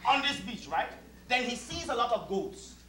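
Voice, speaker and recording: An actor with a Caribbean accent playing a proud, sardonic servant who bosses like a director in a stage comedy, mock-polite and emphatic. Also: male voice, very high voice, very animated, normal speed, bright, forceful tone, heavily acted. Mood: bossy, exasperated. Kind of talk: live satirical stage comedy acting. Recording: echoey far-off stage recording, humming noise.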